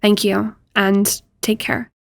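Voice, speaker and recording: Even-toned woman, creaky, very dry recording. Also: mid-range voice, no room sound. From Canada. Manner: earnest, measured. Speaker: podcast representative. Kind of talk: scripted public appeal to listeners.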